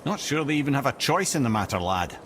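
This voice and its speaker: An actor playing a chatty, witty old advisor in a video game, a wry storyteller. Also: male voice, medium pitch, big pitch swings, fast, fairly bright tone, slight background noise. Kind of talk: video game dramatic acting.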